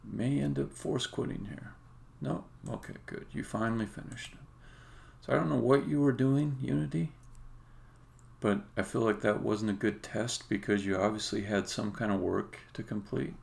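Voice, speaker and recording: A man live-streaming game development, thinking aloud in halting, muttered, exasperated asides, unscripted and talking to himself. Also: medium pitch, gravelly, a little mellow.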